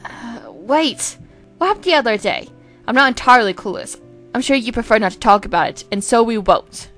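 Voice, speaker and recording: A woman's voice: a little high, animated, a little fast, a bit bright, faint music behind. A woman voicing a proud, prim male twin in an amateur comic dub, haughty and theatrical but grudgingly kind. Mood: stiff, haughty.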